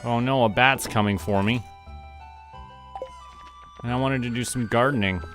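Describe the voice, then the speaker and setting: A man from Canada giving casual, relaxed commentary as he streams a game, often talking to himself. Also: medium pitch, normal speed. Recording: music behind the voice. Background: music.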